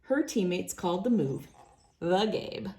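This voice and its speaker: A woman's voice: on the low side, lively intonation, measured pace, warm tone. A woman reading a children's storybook aloud as narrator. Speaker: children's book reader.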